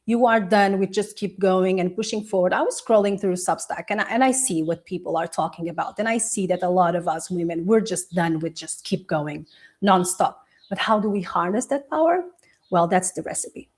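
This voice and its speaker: A woman's voice: lowish voice, normal speed, a little mellow. Chatty, encouraging woman coach on a livestream. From Portuguese Angolan. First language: Portuguese. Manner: chatty and encouraging, laughs often, sips tea. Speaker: coach hosting a live tea session. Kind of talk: casual live-stream talk to viewers.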